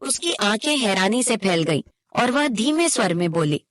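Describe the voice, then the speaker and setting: A woman's voice: mid-range voice, big pitch swings, normal speed. A woman narrating a scripted moral story as its storyteller.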